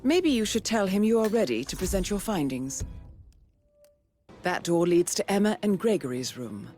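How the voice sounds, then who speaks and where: An actress playing a calm, analytical female mission handler who talks an assassin through the clues. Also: mid-range voice, natural voice. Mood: thoughtful. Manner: measured, analytical. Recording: music behind.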